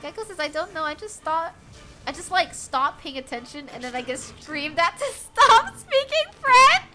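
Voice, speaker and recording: Giggly American woman streamer, high lively voice. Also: normal speed. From United States. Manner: giggly, animated, frequent bursts of laughter. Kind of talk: casual chat reading chat while gaming. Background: faint game music.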